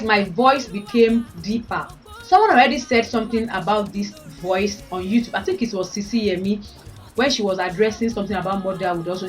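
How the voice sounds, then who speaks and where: Chatty Nigerian woman vlogging, a bit distant. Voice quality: deeper voice since having babies. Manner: chatty, frank, often calls out to viewers. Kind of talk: casual chat to camera. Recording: voice a bit far from mic, faint music.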